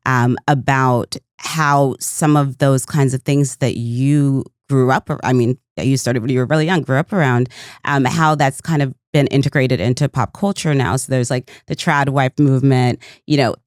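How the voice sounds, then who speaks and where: A woman's voice: a bass voice, steady tone, moderate pace, dry recording, clean studio sound. A woman hosting an interview podcast, affirming her guest before asking a rambling, thoughtful question. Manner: affirming, many brief backchannels. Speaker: podcast host.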